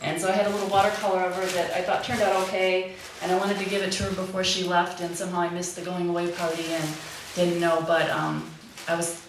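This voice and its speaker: A woman's voice: lowish voice, little pitch movement, fast, few pauses. A woman sharing an informal personal reminiscence with a gathering. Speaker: artist presenting a painting at a memorial. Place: echoey back room at a gathering. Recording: very echoey, distant mic, faint noise.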